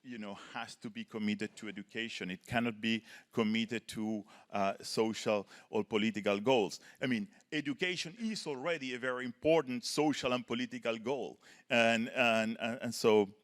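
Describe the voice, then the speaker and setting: A man from Chile, giving earnest panel remarks as a professor and often ending points with a quick "right?". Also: mid-range voice, a bit bright. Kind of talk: panel talk, prepared remarks.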